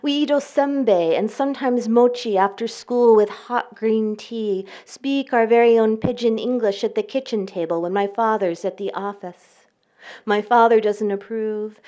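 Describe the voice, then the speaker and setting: Woman poet giving a deliberate reading. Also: fairly high pitch, dark tone. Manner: measured, deliberate reading with careful pauses. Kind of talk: poetry reading with spoken introductions. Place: live reading event before an audience.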